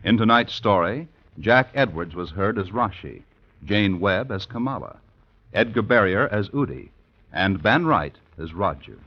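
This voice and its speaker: A man's voice: lowish voice, animated, unhurried, breathy edge. A male announcer reading scripted credits in 1950s radio style. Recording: dull vintage radio broadcast.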